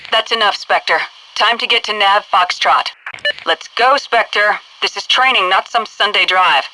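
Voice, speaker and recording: An actress voicing a stern, brisk military headquarters instructor in a video game, giving clipped, commanding tutorial orders over a noisy radio-like transmission. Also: female voice, medium pitch, sharp tone, close to an everyday voice. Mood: stern, impatient.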